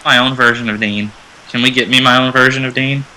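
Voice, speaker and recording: A man's voice: mid-range voice, fairly even intonation, a little fast, a crowd in the background, poor audio. A man talking casually as a panelist on an online fan show, hesitant and correcting himself as he goes. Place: internet call.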